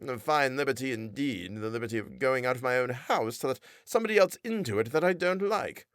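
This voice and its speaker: A man's voice: medium pitch, lively intonation, fairly quick, a bit bright, no room sound, studio quality, lightly acted. A man reading a novel aloud, voicing an irritable older shopkeeper husband who grumbles in exasperation. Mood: exasperated. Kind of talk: audiobook character voice.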